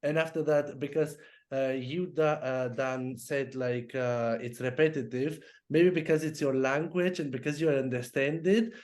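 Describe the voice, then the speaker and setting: A man on a casual panel talking haltingly, repeating and restarting words. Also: mid-range voice, steady tone, darkish tone.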